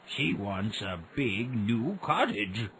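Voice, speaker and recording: A man voicing a kindly old fisherman in a storybook, speaking in a gentle, slightly raised voice. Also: on the low side, very animated, slow, slight background noise, exaggerated voice. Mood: mild.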